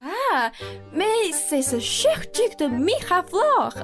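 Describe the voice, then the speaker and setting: A woman's voice: very high-pitched, big pitch swings, takes it slowly, over music, heavily acted. A woman playing a rich, effusive aristocratic lady in a student radio play, speaking grandly with theatrical sighs. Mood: delighted.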